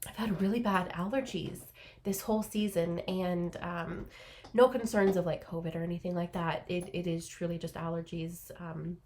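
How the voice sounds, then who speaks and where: A woman's voice: on the low side, fairly even intonation, moderate pace, a little mellow, a little hiss or hum. A young woman hosting a craft podcast from her small home office, chatting casually and rambling with lots of ums, a little congested, recorded on a laptop's built-in mic. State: congested from allergies. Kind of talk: casual solo chat to camera.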